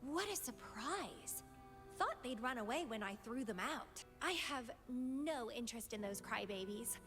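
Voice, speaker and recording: High-voiced, teasing, obsessive young woman. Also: expressive, unhurried. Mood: playful, casual. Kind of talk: anime-style video game voice acting. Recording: loud music behind the voice. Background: music.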